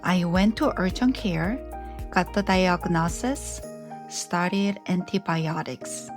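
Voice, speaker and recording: Slow-talking woman podcast host, slightly scratchy. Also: fairly low pitch. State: slightly scratchy voice after strep throat. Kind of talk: scripted podcast narration.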